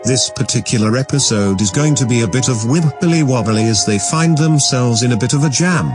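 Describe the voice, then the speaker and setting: A man reading a scripted, mock-dramatic science fiction introduction as a podcast narrator. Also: mid-range voice. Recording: loud music under the voice. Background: music.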